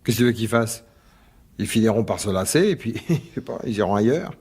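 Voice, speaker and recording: An actor playing a weary, placating husband in a radio mystery drama, colloquial and sighing, coaxing yet dismissive. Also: male voice, on the low side, lively intonation, fast, darkish tone, husky.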